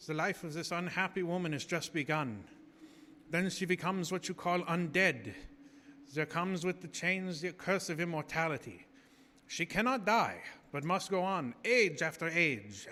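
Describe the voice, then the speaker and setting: An actor playing a grave, commanding professor who hunts vampires, delivering probing, theatrical speeches in gothic radio drama. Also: male voice, fairly high pitch, animated, a little slow, sharp tone. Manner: theatrical, probing rhetorical questions. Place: live theater stage. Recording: reverberant theater hall with noise.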